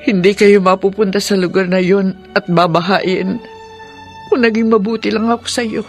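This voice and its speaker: A woman's voice: mid-range voice, fairly even intonation, moderate pace, close to an everyday voice. An actress with a Filipino accent playing an older, remorseful mother-in-law, pleading and emotional in a radio drama. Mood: contrite. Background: music.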